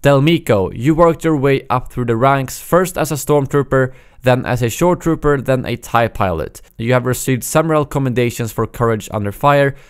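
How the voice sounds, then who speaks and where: A man with a Swedish accent reading the lines of a stern male imperial admiral in a formal, measured way. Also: mid-range voice, smooth, lightly acted.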